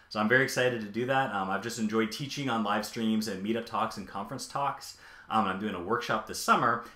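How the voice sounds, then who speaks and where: Lively-voiced man live coding. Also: on the low side. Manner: thinking aloud, many ums and uhs. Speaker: live-coding streamer and developer. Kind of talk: live coding tutorial with chat replies. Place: home office, indoors. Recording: echoey room.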